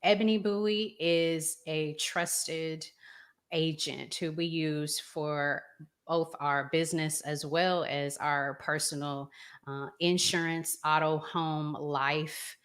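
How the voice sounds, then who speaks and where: A woman with a Southern American accent, hosting a casual chat and talking in a relaxed, chatty way with frequent fillers. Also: low voice, slow pace, clean tone. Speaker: host.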